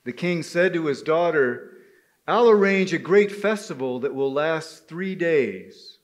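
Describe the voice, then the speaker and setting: A man's voice: on the high side, animated, unhurried. A man telling a folktale live, emphatic and speaking directly to his listeners. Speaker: storyteller at a men's gathering.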